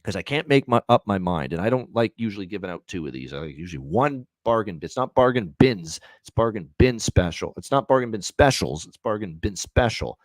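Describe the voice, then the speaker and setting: A man's voice: on the low side, fairly quick, few pauses, dry recording. A man hosting a sports betting show, reading promos and picks with lots of "uh" fillers and repetition. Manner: energetic, repeats himself, many "uh" fillers. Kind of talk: solo podcast promo and picks.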